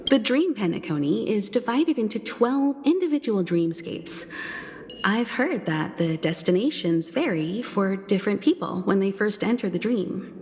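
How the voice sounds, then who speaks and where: An actress playing a composed, caring space traveler in a video game, speaking in a measured, articulate way and gently advising. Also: female voice, medium pitch, lively intonation, slight background noise, close to an everyday voice. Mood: calm, caring. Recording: long reverb, music behind.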